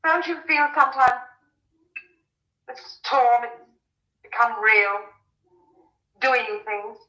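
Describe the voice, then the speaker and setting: An actress playing an anxious, protective young farmer's daughter, speaking earnestly in a vintage radio drama style. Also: female voice, on the high side, slow, sharp tone, low-quality recording. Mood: fearful, brooding. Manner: earnest, emphatic, fretful.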